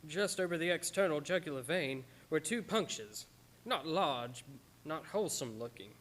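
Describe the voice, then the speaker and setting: An actor playing an earnest, devoted doctor in a radio drama, who narrates and speaks in a solemn, dramatic way. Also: male voice, on the high side, expressive, normal speed, fairly bright tone. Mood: solemn, haunted. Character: earnest, devoted, anguished male doctor narrating his journal.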